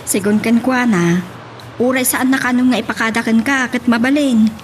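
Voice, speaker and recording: A caring aunt in a radio love drama voiced by an actress. She speaks in a fairly high voice, hesitant and gently admonishing. Some faint noise sits behind her. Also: normal speed, natural voice. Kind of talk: radio romance drama acting.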